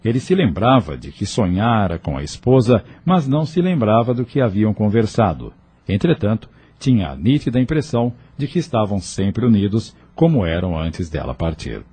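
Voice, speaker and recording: A man with a husky, mellow voice narrating an audio novel as a dramatic scripted storyteller. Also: fairly low pitch, sing-song, moderate pace.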